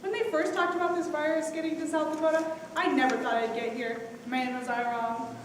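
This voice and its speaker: A woman's voice: sits high, little pitch movement, fairly quick, lightly acted. A woman playing an earnest, worried townswoman in a stage play. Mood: worried, unsure. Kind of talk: stage acting. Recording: echoey hall from far off, noisy.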